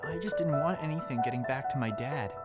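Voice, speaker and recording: A woman's voice: rumbling low, a little fast, loud music behind the voice. A woman playing a reserved, polite teenage boy in a fan audio drama, with a hesitant manner. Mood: guarded.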